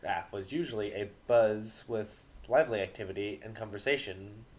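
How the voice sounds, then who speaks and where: A man narrating a story aloud in an emphatic, deliberate dramatic reading style. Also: lowish voice.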